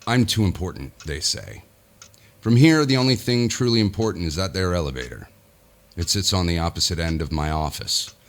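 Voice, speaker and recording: A man's voice: sits low, animated, normal speed. An actor playing a weary, self-important businessman who confides his nightmare theatrically in a horror audio drama. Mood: brooding, ominous. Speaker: lead actor narrating in character. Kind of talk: dramatic first-person audio horror narration.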